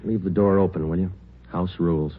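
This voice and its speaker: An American actor playing a calm, dry police detective in an old radio drama, in terse, deadpan phrases. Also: male voice, low voice, brisk, close to an everyday voice. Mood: dry, in control. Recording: muffled old radio broadcast, background hiss.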